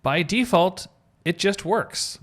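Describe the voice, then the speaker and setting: A man's voice: high voice, slow pace, fairly bright tone. A man giving an informal screencast tutorial as an instructor, thinking aloud as he talks through each step. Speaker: web coding instructor.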